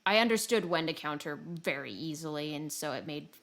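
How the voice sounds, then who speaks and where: A woman's voice: deep voice, lively intonation, fairly quick, creaky. A woman chatting casually and informally about video games as a podcast co-host, rambling and self-deprecating.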